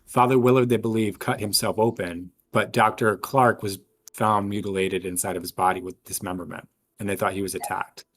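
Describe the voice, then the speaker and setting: A man with a husky voice casually discussing clues in a tabletop role-playing game. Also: fairly low pitch, steady tone, a little fast, a bit bright.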